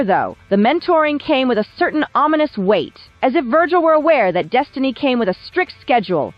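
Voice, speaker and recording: Casting: female video essay narrator, wry and polished, lively high voice, recorded dry over background music. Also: dry recording, dull audio. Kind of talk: scripted documentary narration.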